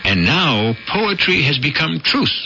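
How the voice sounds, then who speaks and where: A man's voice: a little high, big pitch swings, a little slow, creaky, bright, forceful tone. A man hosting a radio drama series, narrating from a script as a wry, theatrical storyteller. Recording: dull old radio broadcast. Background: prominent music.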